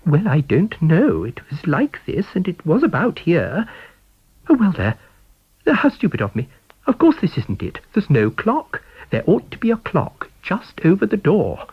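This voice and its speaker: Man doing a flustered lady, high and swooping, dithering, emphatic, audiobook character. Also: wildly swooping pitch, measured pace, slightly rough, muffled recording, heavily acted. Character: flustered, fussy woman witness, earnest and talkative.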